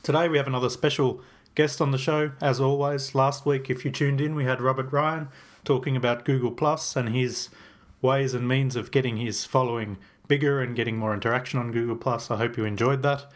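A man's voice: mid-range voice, steady tone, fairly quick. Upbeat man hosting a podcast. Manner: upbeat, chatty. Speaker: podcast host. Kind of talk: podcast intro and interview.

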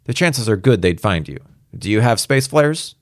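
A man playing an impersonal defense-zone officer on the radio, calm, procedural and matter-of-fact. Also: lowish voice, moderate pace, dry recording, studio quality, voice pushed up.

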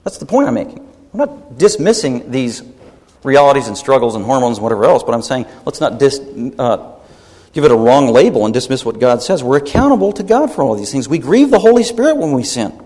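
A man's voice: medium pitch, big pitch swings, fairly quick, darkish tone. Emphatic preacher man in a reverberant hall. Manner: emphatic, rebuking, repeats points for stress. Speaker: pastor. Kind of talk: sermon.

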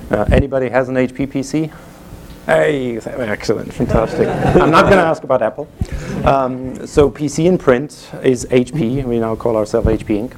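A man's voice: mid-range voice, moderate pace, crowd noise. A middle-aged man from Germany with a German accent, speaking casually onstage to a live audience as guest speaker, with many "you know" fillers. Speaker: guest speaker, sales executive. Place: event room before a live audience.

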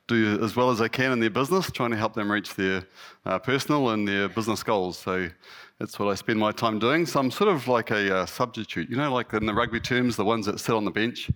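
A man with a New Zealand accent and a slightly husky voice, giving an informal, lightly humorous, self-deprecating sermon to a congregation as a lay guest preacher. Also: fairly low pitch, a little fast, a bit bright.

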